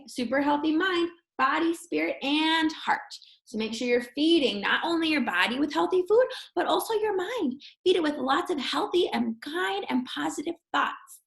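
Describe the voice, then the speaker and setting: A woman's voice: high voice, lively intonation, normal speed, gravelly. A woman reading a children's picture book aloud expressively, like a teacher, with encouraging asides spoken straight to young listeners. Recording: roomy, echoey sound.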